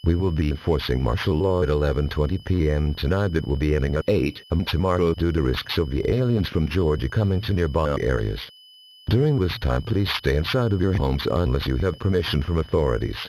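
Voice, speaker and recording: A man's voice: rumbling low, expressive, brisk, a little mellow, slight background noise. A man reading scripted emergency warnings as a formal, mechanical announcer. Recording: dull lo-fi alert audio.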